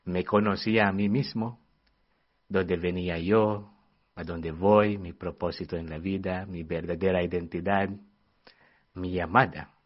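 Filipino-accented young man, low and earnest. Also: steady tone. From Philippines. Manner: earnest, halting, searching for words. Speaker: missionary guest. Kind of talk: personal testimony in an interview. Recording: dull lo-fi sound.

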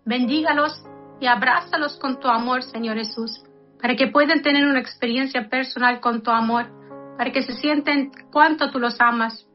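A high-voiced woman in her fifties speaks earnestly to her listeners, mixing a reflection on life with a heartfelt prayer. The sound is dull and lo-fi, like a radio broadcast. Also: fairly even intonation, normal speed, soft background music. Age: 50s. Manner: earnest, addresses listeners as brothers and sisters.

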